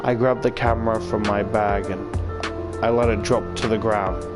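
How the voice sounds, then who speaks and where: A man's voice: lowish voice, fairly even intonation, a little slow. A man reading a visual novel aloud as a YouTuber, stumbling now and then and adding casual commentary. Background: game music.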